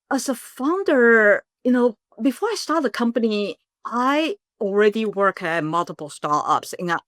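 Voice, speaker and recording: A middle-aged woman from Taiwan, telling her story as a startup founder in a casual interview, earnest and animated in bursts. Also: on the low side, no echo, clean studio sound.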